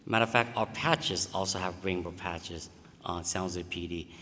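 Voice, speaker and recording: A man's voice: deep voice, moderate pace, bright, forceful tone. A man from Vietnam, answering at a candidate forum in plain, slightly broken phrasing. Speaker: city council candidate. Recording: reverberant hall.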